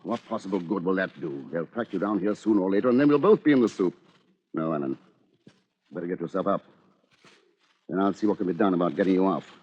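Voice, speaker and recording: An actor playing a dry, resentful British bachelor lawyer with a measured, controlled manner, in 1940s radio drama. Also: male voice, sits low, expressive, a little mellow, husky. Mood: firm, weary. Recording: dull lo-fi old radio recording.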